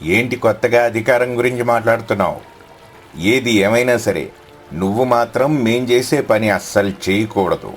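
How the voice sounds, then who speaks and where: A man's voice: medium pitch, normal speed, soft background music, natural voice. A man voicing a haughty village fisherman in a moral story, scolding and domineering. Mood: angry, scornful.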